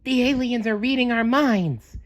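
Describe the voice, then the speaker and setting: A woman voicing an excitable, naive male cartoon sea sponge in a storybook, earnest and dramatic in delivery. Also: fairly high pitch, normal speed, voice pushed up, lightly acted. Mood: suspicious, urgent.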